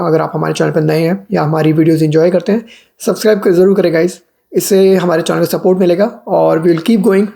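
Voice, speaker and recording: A man's voice: sits high, vocal fry, dark tone. A man with an Indian accent hosting a channel, giving casual news commentary and slipping between two languages as he explains. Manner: code-switches between Hindi and English. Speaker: vlogger, channel host.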